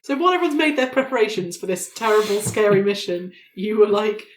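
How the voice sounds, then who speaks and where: A woman throws out ideas at a tabletop game in casual, playful chat. The recording is clearly roomy, with a faint layer of noise. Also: medium pitch, animated, quick pace. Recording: echoing room, faint noise.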